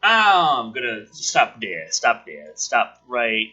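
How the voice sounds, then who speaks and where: Animated, forceful-voiced man with a rasp. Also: medium pitch, slow, dull audio. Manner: sarcastic, profane. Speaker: host reading a film script aloud with commentary. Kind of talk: casual dramatic script reading with ranting asides.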